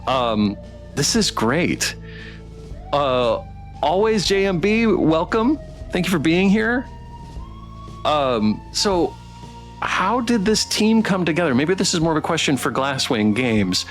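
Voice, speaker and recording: A man hosting a game stream, chatting casually and appreciatively in a halting way as he interviews guests. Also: on the high side, very animated, faint music behind. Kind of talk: casual live interview chat.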